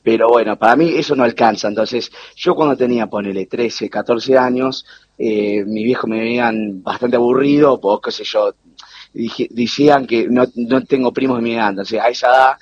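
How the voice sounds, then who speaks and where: A man's voice: medium pitch, steady tone, normal speed, a little hiss or hum. A man in his thirties with an Argentine accent, chatting casually about his work and family, laughing often and using local slang. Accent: Argentine (Rioplatense). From born in New York to Argentine parents. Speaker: TV producer, guest. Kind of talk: casual interview over a call. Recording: dull lo-fi call audio.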